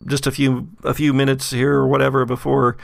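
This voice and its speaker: A man's voice: medium pitch, completely flat delivery, a little fast, creaky, no room sound, clean studio sound. A man voicing a laid-back dead bro, a talking severed head, with slangy, flippant and easygoing delivery in a tabletop game. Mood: teasing.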